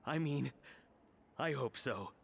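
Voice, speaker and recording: A man's voice: medium pitch, animated, slow, vocal fry, a little hiss or hum. A man playing an earnest chef and father in a video game, hesitant and apologetic yet eager to prove himself. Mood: insecure insistence. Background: music.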